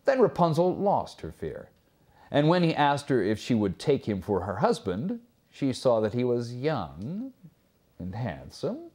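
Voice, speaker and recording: A man reading a fairy tale aloud as a storyteller, expressive and theatrical, with measured phrasing. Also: mid-range voice, husky.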